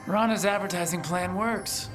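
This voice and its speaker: An actor playing a wry, easygoing young hero in a fantasy video game, with a casual delivery. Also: male voice, high-pitched, fairly even intonation, normal speed, natural voice. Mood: upbeat, teasing. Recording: long reverb, music behind.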